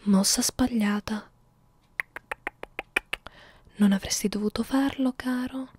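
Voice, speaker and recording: Woman acting a slow, teasing vampire girl, whispering close. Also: on the high side, fairly even intonation, a little mellow, slight background noise. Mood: predatory, taunting. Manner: slow, teasing, condescending, menacing. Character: young female vampire, 342 years old, playful, predatory, haughty. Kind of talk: ASMR roleplay, alternating whispers and soft speech. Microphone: close ASMR microphone.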